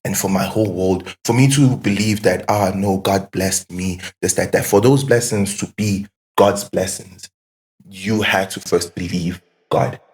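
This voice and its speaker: Low-pitched man talking casually and emphatically. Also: fairly even intonation, a little slow, a little mellow. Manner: emphatic, questioning, repeats phrases for emphasis. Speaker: podcast guest. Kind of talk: casual reflective conversation.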